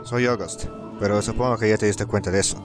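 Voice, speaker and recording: Male actor as tough cynical game dealer, Latin American Spanish accent, lowish pitch, dismissive, music behind. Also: steady tone, quick pace, close to an everyday voice. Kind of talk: video game fan-dub acting.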